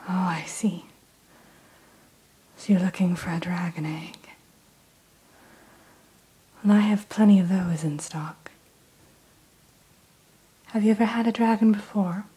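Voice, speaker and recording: A woman in an ASMR roleplay who whispers gently and courteously as the keeper of a dragon hatchery. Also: on the low side, slow, long pauses, husky, poor audio.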